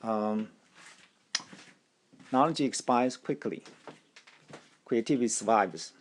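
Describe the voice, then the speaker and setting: A man whose first language is Taiwanese gives a language lesson, speaking deliberately and often repeating his phrases. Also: mid-range voice, a little hiss or hum. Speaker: language teacher. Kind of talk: slow instructional talk.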